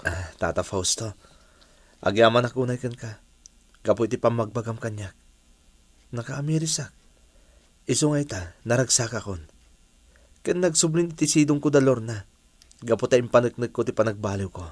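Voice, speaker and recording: A man's voice: mid-range voice, normal speed, long pauses, no echo, lightly acted. Man acting an earnest, repentant suitor, dry studio sound. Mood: grateful. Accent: Ilocano. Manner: earnest, pleading. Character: repentant, earnest adult man wooing his estranged family. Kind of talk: Ilocano radio drama acting.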